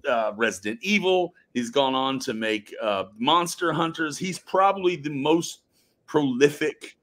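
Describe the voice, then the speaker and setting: A man, an actor guesting on a podcast, who tells stories casually but emphatically, with dramatic pauses. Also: a little high, a bit bright. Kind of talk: casual interview chat.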